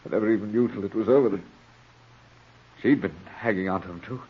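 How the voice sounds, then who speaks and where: Husky, frightened, stammering weakling. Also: male voice, fairly low pitch. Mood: shaken, haunted. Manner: halting, anguished, stammering. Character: weak, frightened, overwrought ne'er-do-well younger man. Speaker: radio actor. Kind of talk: 1940s radio drama acting. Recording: muffled vintage 1940s radio recording.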